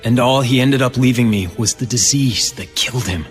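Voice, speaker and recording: A man's voice: mid-range voice, moderate pace, natural voice. An American man playing a bitter, guarded young heir in a video game, speaking in a brooding, confiding way. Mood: somber. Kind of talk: video game cutscene acting. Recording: long reverb, music underneath.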